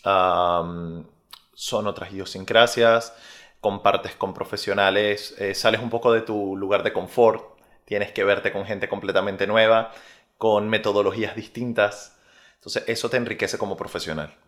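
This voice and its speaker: A young Venezuelan man with a Venezuelan Spanish accent, a researcher answering interview questions in a measured way with frequent pauses. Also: fairly low pitch, fairly even intonation, a bit bright. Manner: measured, many filler "eh" pauses.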